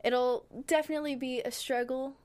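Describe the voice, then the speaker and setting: A teenage girl guests in an interview, answering in a hesitant, soft-spoken way with plenty of fillers. Her voice sits a bit high, and the recording is dry and close. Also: fairly even intonation, moderate pace, clear, resonant tone, dry recording. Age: teens. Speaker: guest, young dancer. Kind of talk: casual interview.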